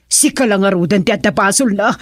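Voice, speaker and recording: A woman's voice: mid-range voice, fast talker, dry recording, studio quality, close to an everyday voice. An actress in an Ilocano radio drama playing an aggrieved mother and quarrelsome wife, accusing and scolding. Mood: angry, accusing.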